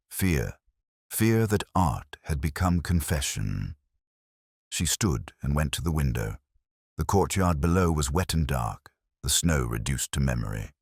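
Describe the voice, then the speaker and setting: A man narrating a scripted first-person detective story in a measured, brooding, literary manner. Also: very deep voice, dry recording, clean studio sound.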